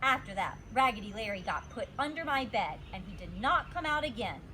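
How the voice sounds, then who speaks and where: A woman reading a children's storybook aloud like a teacher, expressive and emphasizing her words. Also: mid-range voice, brisk, a little hiss or hum. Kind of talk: animated storybook read-aloud.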